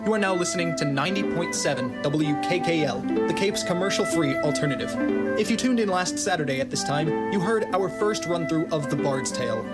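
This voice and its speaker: A man presenting on radio, reading a formal scripted introduction to a drama with poetic phrasing. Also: a little high. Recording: loud plucked guitar music behind. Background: guitar music.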